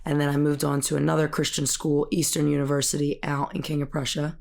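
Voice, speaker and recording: Gravelly American woman in her thirties. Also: sits low, completely flat delivery, fast talker, a little mellow. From Bucks County, Pennsylvania, United States. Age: 30s. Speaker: podcast guest, fitness and gymnastics coach. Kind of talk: casual interview chat.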